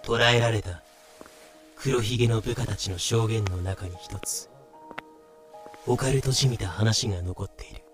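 A man's voice: lowish voice, normal speed, long pauses, faint music behind, lightly acted. A man playing the grave narrator of a pirate legend in a fan audio drama. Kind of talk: scripted occult-tale narration in audio drama.

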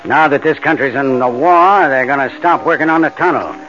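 A man's voice: medium pitch, a little fast, fairly bright tone, lightly acted. A muffled vintage radio recording with music behind it has a male actor as a scheming New ex-con. The man is a persistent tempter, slangy and coaxing, in 1940s crime drama manner. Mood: insistent. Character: persistent scheming ex-convict pal, a smooth tempter.

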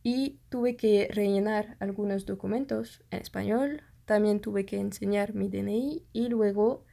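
A woman's voice: medium pitch, vocal fry, warm tone. A young woman whose first language is French, telling a personal story in a casual, hesitant way, often searching for words. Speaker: vlogger.

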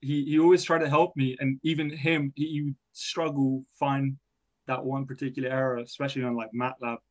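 A man's voice: mid-range voice, little pitch movement, a little fast. A young man whose first language is Persian, an engineering student talking casually in a rambling, self-deprecating way with lots of fillers. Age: young adult. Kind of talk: casual podcast chat. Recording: dull lo-fi sound.

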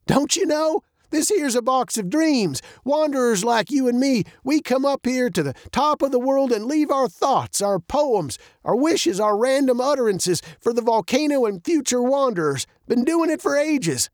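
A storybook narrator voicing an old male mountain wanderer, a grandfather given to emphatic, preachy spiels and quick to take offense. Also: high voice, animated, no echo, clean studio sound, voice pushed up. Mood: mysterious.

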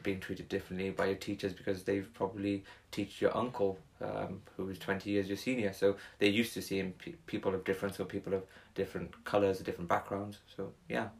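A man's voice: sits low, level tone, fairly quick, vocal fry, few pauses. A young man, talking casually as a podcast guest, rambling with many fillers and restarts. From Wales. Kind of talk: casual conversation.

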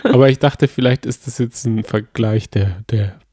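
Male podcast co-host, mellow voice, close dry mic, enthusiastic with restarts. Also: medium pitch, no room sound. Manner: earnest and enthusiastic, with halting restarts. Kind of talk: casual chat.

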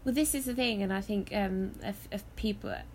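A woman hosting a podcast, chatting casually from her bed with a hoarse voice from illness. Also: medium pitch, fairly quick, darkish tone, a little hiss or hum. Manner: chatty, laughs often. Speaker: podcast host. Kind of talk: casual chat. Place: in bed at home.

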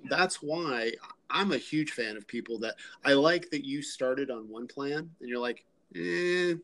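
A man's voice: mid-range voice, measured pace, a bit bright. A man with an American accent hosting a podcast, chatting in an easygoing way, laughing often and using many likes. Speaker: podcast host. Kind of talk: casual interview chat.

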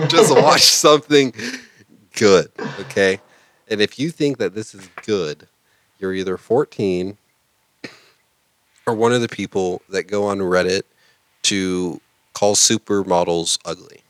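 Deep-voiced, blunt male podcast co-host. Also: takes it slowly, long pauses, a bit bright, dry recording. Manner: blunt, opinionated, profane. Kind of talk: casual anime podcast banter.

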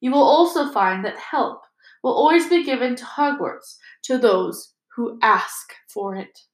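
Storybook headmaster, voiced by a woman: male, composed, firm, courteous, measured delivery, roomy sound. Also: mid-range voice, close to an everyday voice. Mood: calm firmness. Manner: polite, measured, slow and clear. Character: calm, wise, authoritative male headmaster. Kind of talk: storybook character voice.

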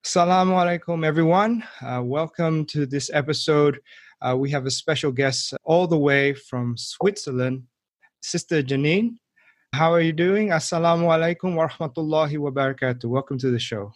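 Guy hosting a podcast, friendly, lots of uhs and restarts, slightly high voice, dry sound. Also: normal speed, no room sound. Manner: friendly, with frequent fillers and restarts. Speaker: podcast host. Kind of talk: podcast interview.

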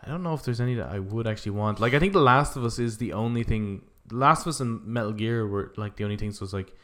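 A man with a fairly low voice chats casually as a podcast co-host. He rambles through his opinions, thinking aloud and swearing freely as he works out each point. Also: fast talker, fairly bright tone. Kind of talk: casual opinionated chat about films and games.

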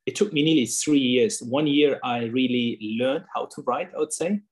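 A man's voice: medium pitch, moderate pace, a little mellow. A man whose first language is German, talking earnestly in a casual interview from his kitchen table, with frequent fillers and restarts. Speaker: author and guest.

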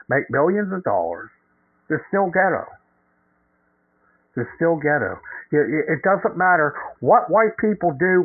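A hoarse man delivering indignant opinion commentary, reading news aloud and filling pauses with "uh". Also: on the high side. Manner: indignant, heavy "uh" fillers. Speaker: podcast commentator. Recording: tinny phone-like audio.

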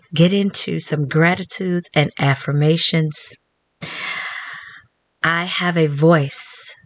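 Low, even-voiced woman podcast host. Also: no echo. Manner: earnest, reflective, speaks affirmations slowly. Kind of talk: solo wellness podcast talk.

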